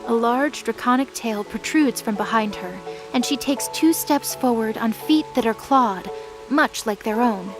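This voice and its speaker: A woman's voice: fairly high pitch, a little slow, over music. A woman narrator reading a scripted dramatic fantasy story.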